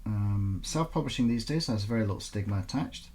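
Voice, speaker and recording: A man speaking as an author presenting and reading aloud his own fiction in an informal, expressive storytelling style. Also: fairly low pitch, a little fast, soft background music. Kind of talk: informal introduction, then expressive audiobook-style narration.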